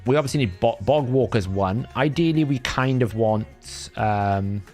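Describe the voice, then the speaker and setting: A man's voice: mid-range voice, lively intonation, measured pace, darkish tone, soft background music. A Welsh man whose first language is Welsh hosts a live game stream, thinking aloud in a chatty way and often correcting himself. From Wales. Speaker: livestream host. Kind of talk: casual gameplay commentary.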